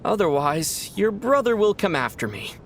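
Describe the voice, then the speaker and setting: Low-voiced cool lady, dry but swings up and down. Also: sing-song, normal speed, a little hiss or hum.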